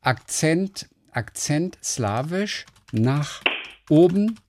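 A man's voice: fairly high pitch, animated, drawn-out words, background noise. A middle-aged man co-hosting a radio show, chatting casually and rambling as he searches for words. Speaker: radio host. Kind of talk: casual on-air chat.

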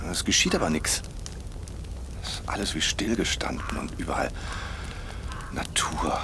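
A man's voice: low-pitched, very animated, normal speed, noisy background. An actor playing a sarcastic, talkative husband in a radio drama, mocking and theatrical in his delivery. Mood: bored, needling. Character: sarcastic, talkative husband on a wilderness camping trip. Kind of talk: German radio drama acting.

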